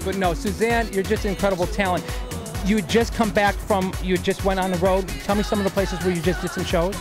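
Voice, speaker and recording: A man's voice: sits high, fairly even intonation, fairly quick, over music. A man hosting a talk show interview, effusive and enthusiastic with his praise. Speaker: host.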